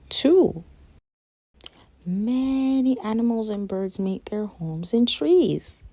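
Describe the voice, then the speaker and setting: A woman reading a children's nonfiction picture book aloud in a measured, expressive way. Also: mid-range voice, no room sound.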